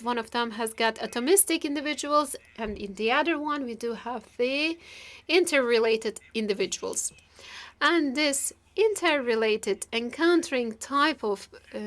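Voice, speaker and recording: A woman working as a live interpreter of a formal keynote, speaking in halting, piecemeal phrases with stretched words. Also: fairly high pitch. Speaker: simultaneous interpreter.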